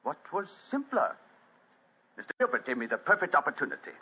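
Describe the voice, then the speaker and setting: A man's voice: fairly high pitch, wildly swooping pitch, fairly quick, breathy edge, full character voice. A man playing a suave, proud casino manager, formal and melodramatic in 1940s radio style. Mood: coolly defiant. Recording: muffled vintage radio drama recording.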